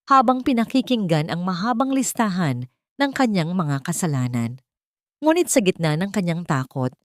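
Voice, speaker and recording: A woman reading a scripted dramatic story as narrator, in a measured, solemn storytelling manner. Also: lowish voice, dry recording, clean studio sound. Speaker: narrator of love stories.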